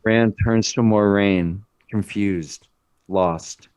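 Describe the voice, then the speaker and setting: Slow, low-voiced male narrator. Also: fairly even intonation, darkish tone, clean tone. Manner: dramatic, deliberate. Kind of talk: scripted screenplay narration.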